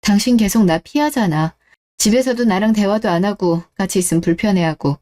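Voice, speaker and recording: An earnest wife in a radio drama, played by a woman. She has a mellow, dark-toned voice at a middle pitch. She sounds sincere and wounded, pressing for answers. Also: fairly quick, close to an everyday voice. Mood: earnest, pleading. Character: married daughter, suspicious wife, earnest and hurt. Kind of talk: scripted radio-drama dialogue.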